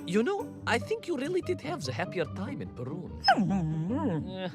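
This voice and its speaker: An actor playing a bumbling, boastful but kindly Soviet cosmonaut, telling tall tales for a game, dramatic and rambling. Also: male voice, sits high. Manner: dramatic, rambling, full of hesitant asides. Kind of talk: theatrical storytelling game voice acting.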